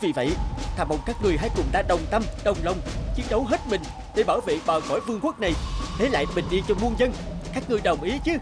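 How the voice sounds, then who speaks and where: An actor playing a noble, earnest young prince in an animated fairy tale, with a rousing way of speaking. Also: male voice, sits high, fast talker. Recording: loud music under the voice.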